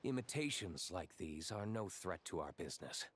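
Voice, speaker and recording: An actor playing a composed, quietly confident winery owner in a video game, dry and understated. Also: male voice, deep voice, sing-song, normal speed, a little hiss or hum. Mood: coolly analytical. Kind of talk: English video game voice acting.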